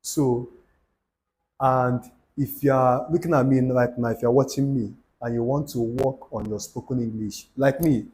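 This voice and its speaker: A man's voice: medium pitch, fairly even intonation, normal speed, darkish tone, slight background noise, poor audio. A young Nigerian man with an Igbo accent, talking to camera in a preachy, repetitive way and dropping Pidgin asides. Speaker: YouTube channel host. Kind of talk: motivational talk to camera.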